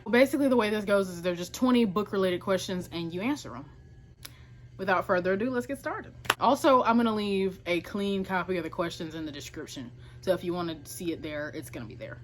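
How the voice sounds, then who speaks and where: A woman talking casually to camera as a book vlogger, informal and emphatic, often correcting herself with little asides. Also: lowish voice. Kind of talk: casual chatty talk to camera.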